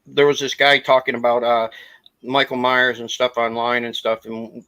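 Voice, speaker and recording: A man's voice: mid-range voice, flat delivery, normal speed, sharp tone, clean tone, no echo. A man in his forties co-hosting a casual podcast chat, joking and talking off the cuff. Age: 40s.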